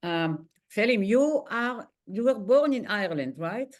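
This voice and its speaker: Woman, interviewer, chatty, quick interjections, mid pitch. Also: lively intonation. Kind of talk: casual interview.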